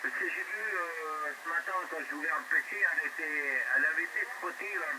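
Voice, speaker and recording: A man's voice: on the high side, fairly quick. A man chatting casually as an amateur radio operator over a two-way radio, talking in a hesitant, repetitive way. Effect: two-way radio transmission. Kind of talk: casual radio contact chat. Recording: very noisy radio reception, heavy static.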